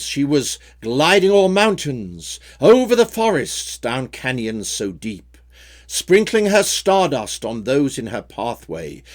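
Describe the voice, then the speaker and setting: A man reading his own story poems in a measured, theatrical way with a rhyming cadence. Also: mid-range voice. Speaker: poet reading own work. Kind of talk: dramatic poetry recitation.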